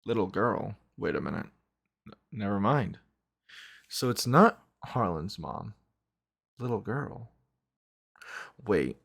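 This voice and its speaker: A man's voice: lowish voice, lively intonation, slow, gravelly, pauses often, fairly bright tone, husky. A man giving casual reaction commentary as a video host, thinking aloud as he goes. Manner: musing, thinking aloud, frequent "like" and "right".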